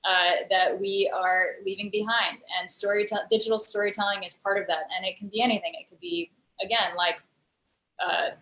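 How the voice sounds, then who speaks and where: A woman talks through her ideas in a thoughtful, rambling way, with plenty of ums and restarts. Her voice sits at an ordinary middle pitch. She comes through a video call from a roomy, echoey space. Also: fairly even intonation, normal speed, bright, forceful tone. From United States. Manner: thoughtful, explains at length with many fillers. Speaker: guest, digital storyteller and musician. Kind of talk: casual interview conversation.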